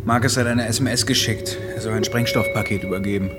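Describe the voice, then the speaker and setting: An actor playing a cocky, dry-witted police detective in a radio crime drama, with a glib, flippant manner. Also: male voice, fairly low pitch, fairly even intonation, fast talker, over loud music, close to an everyday voice.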